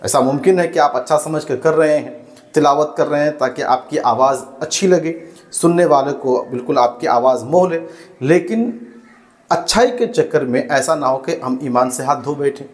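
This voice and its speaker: A man hosting a religious explainer, addressing viewers in a measured, didactic and cautioning way. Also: fairly high pitch. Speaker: host and religious commentator.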